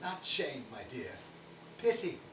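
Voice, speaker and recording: A man playing a gentle, guilt-ridden old father in a stage play, hesitant and apologetic, recorded far off with noise. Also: on the high side, sing-song, takes it slowly. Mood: tender, apologetic. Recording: echoey stage from far off, noisy.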